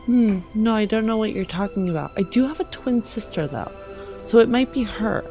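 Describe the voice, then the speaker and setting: A woman playing an earnest, protective technomancer in a science fiction tabletop game, speaking with measured, hesitant pauses. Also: fairly high pitch, music in the background, lightly acted. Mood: coaxing, evasive. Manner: measured, hesitant pauses, pleading yet firm.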